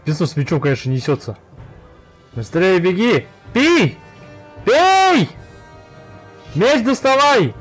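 A man commenting casually as he plays a video game, with very lively, jumpy pitch. He swears freely and gets worked up easily, over faint music. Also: slow, long pauses, breathy edge. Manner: foul-mouthed, excitable. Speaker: gamer playing a fantasy game. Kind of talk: casual let's play commentary.

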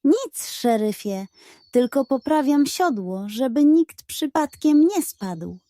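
A woman's voice: high voice, big pitch swings, slow pace, warm tone, no echo, pitched above the performer's own voice, heavily acted. A woman voicing a shifty male coyote sidekick who feigns innocence in a children's storybook. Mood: feigned innocence. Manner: falsely innocent, wheedling.

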